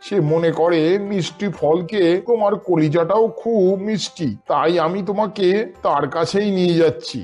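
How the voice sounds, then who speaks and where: A man voicing a gullible, earnest male crocodile in a children's cartoon fable, with a husky tone. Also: high-pitched, moderate pace, darkish tone, soft background music, voice pushed up. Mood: apologetic. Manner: earnest, simple-minded. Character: foolish, gullible, soft-hearted male crocodile friend.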